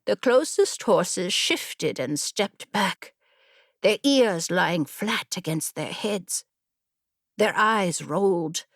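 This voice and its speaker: A woman's voice: fairly low pitch, expressive, takes it slowly, no echo, clean studio sound. A woman narrating scripted fiction as a dramatic audiobook reader.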